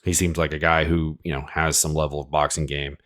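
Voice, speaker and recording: A man's voice: rumbling low, level tone, brisk, fairly bright tone. A man with a cold, sniffling as he rambles through casual, opinionated fight predictions full of fillers. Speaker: MMA analyst host.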